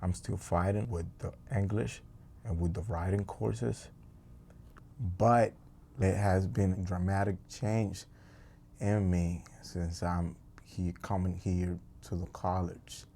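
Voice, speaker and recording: Slow, deep-voiced man. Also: little pitch movement, gravelly, mellow. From Dominican Republic. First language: Spanish. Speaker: college student.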